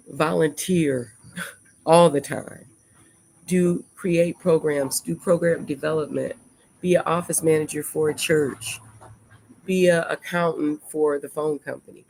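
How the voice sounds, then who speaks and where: A woman's voice: sits low, creaky, low-quality recording. An older American woman hosting a casual livestream at home, rambling and reflective as she talks through long lists of thoughts. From United States. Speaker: livestream host. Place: indoors at home before dawn.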